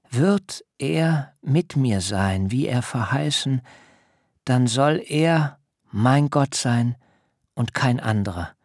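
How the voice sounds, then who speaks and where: An actor playing a dignified middle-aged biblical patriarch who tells his story solemnly and deliberately in a literary radio drama. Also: medium pitch, husky, dry recording, clean studio sound, exaggerated voice. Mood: solemn, exalted. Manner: measured, solemn, deliberate delivery. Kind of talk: literary radio drama, first-person narration.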